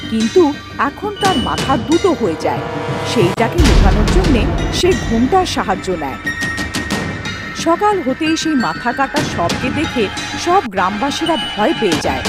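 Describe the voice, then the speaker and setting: A woman telling a scripted ghost story as the narrator of a cartoon. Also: on the high side.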